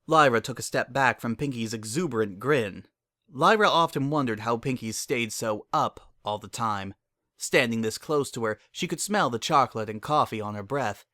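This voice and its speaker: A man's voice: medium pitch, moderate pace, sharp tone, dry recording, studio quality. A man narrating a scripted dramatic reading of a story.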